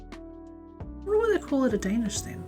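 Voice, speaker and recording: A woman's voice: high-pitched, very animated, normal speed, music in the background. A woman from New Zealand hosting a crochet livestream, chatting casually and rambling, sometimes muttering stitch counts under her breath. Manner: chatty, rambling, reads out and answers chat. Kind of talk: casual chat while crocheting.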